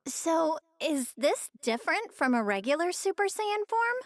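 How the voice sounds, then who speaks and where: A woman's voice: very high-pitched, big pitch swings, unhurried, dry recording, clean studio sound, exaggerated voice. An actress voicing a sweet, curious young woman in an anime game, musing in a good-natured way. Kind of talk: scripted game voice lines.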